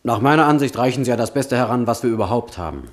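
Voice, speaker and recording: Young male writer, wry and eager, animated intonation, mid pitch, acted by a man, radio play. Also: fast, natural voice. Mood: polite, eager. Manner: ironic, polite, lively. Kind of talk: radio drama acting.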